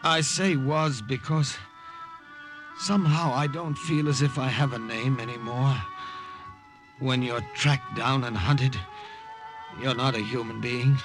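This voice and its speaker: An actor playing a weary, hunted American engineer in a 1950 radio drama, halting and pleading with an American accent. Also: male voice, mid-range voice, steady tone, music in the background, close to an everyday voice. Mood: weary, haunted. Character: exhausted, hunted American engineer on the run, desperate.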